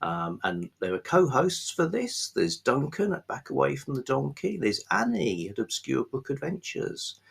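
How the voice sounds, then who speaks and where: A man hosting a book vlog, chatting casually without a script in a rambling, halting way with pauses mid-phrase. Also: medium pitch, very animated, creaky, slightly rough. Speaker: host of a book review vlog. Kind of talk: casual unscripted book chat.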